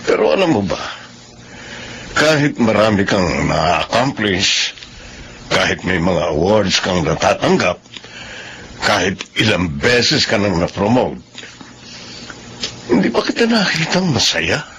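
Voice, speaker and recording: A man's voice: on the low side, very animated, slow, long pauses, fairly bright tone, slight background noise, full character voice. An actor playing an earnest, fatherly male boss with a Filipino accent in a radio drama, speaking in a solemn, emphatic, counseling way. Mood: earnest, consoling. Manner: solemn, emphatic, counseling delivery with English phrases mixed in. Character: earnest, fatherly male company boss comforting a widowed employee.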